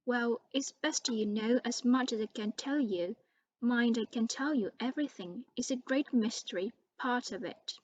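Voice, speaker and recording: A woman voicing a huge, gruff male giant groundskeeper who talks in a loud, blustering, rustic way. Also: on the high side, normal speed, muffled recording, lightly acted. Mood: worried.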